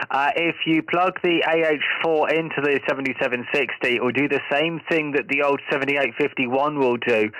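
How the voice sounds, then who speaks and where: A man talking radio gear as an amateur operator, casual and technical, explaining things in a measured way. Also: medium pitch, sharp tone, dry recording, low-quality recording.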